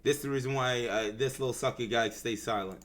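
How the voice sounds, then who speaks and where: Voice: mid-range voice, fairly quick, background noise. A streamer giving casual, slangy, exclaiming commentary while reacting to a video game.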